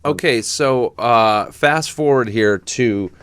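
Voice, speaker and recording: A man's voice: mid-range voice, slow, a bit bright, smooth, no room sound. A man hosting a podcast interview, joking and cutting in to back up and sort out the details. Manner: playful, interrupting to back up and clarify. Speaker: podcast host. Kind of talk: casual interview chat.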